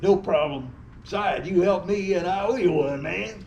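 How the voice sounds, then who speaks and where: A man's voice: sits high, normal speed, a little mellow, highs cut off. A man reading the part of a cocky, sarcastic young car owner in an amateur play. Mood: sarcastic, breezy. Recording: echoey room with faint noise.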